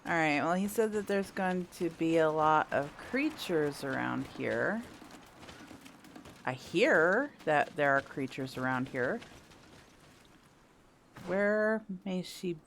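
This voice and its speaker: A woman's voice: sits low, animated, takes it slowly, gravelly, long pauses, slight background noise. A woman giving casual gameplay commentary, rambling and thinking aloud with the odd mild swear. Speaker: gameplay streamer. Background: video game music and sounds.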